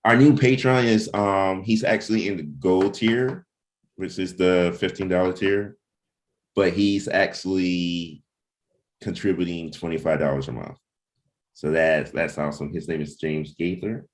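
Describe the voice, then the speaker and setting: A man's voice: sits low, fairly even intonation, a little slow. A man hosting a casual livestreamed team chat, speaking informally and a little hesitantly as he thinks aloud. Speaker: host and comic creator leading a cast meeting.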